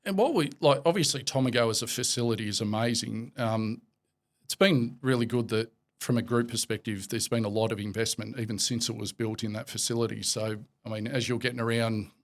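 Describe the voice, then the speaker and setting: An Australian man in his forties, a podcast guest talking in a relaxed, rambling way with lots of fillers and restarts. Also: mid-range voice, a little fast. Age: 40s. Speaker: podcast guest, company executive. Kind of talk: casual interview chat.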